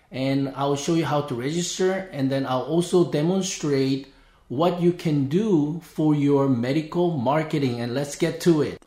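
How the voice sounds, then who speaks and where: Slow-talking man, Korean native speaker. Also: on the high side, warm tone. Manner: unhurried, talks through each click. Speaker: podcast host. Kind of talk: casual screen-share tutorial.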